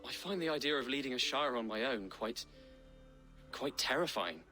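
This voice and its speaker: Casting: anxious young lord in a game, mid-pitched, bright, earnest, faint music behind. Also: male voice, animated, moderate pace. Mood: nervous. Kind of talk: video game character acting.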